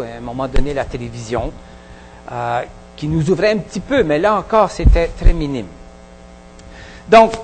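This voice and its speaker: A pastor with a Québécois French accent preaching a sermon in a halting way, with many pauses and restarts. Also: male voice, fairly high pitch, big-room sound, slight background noise, rough recording. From Quebec. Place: church hall.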